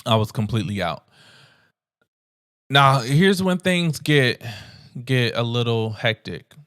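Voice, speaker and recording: A man's voice: medium pitch, slow, dry recording, clean studio sound. Earnest young man telling his story. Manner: earnest, conversational, animated retelling with frequent restarts. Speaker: podcast host. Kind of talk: personal storytelling.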